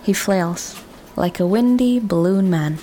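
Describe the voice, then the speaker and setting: A woman narrates a scripted audio drama slowly and with understatement. Her voice sits at a middle pitch, with faint noise in the background. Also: dark tone, low-quality recording. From Indonesia.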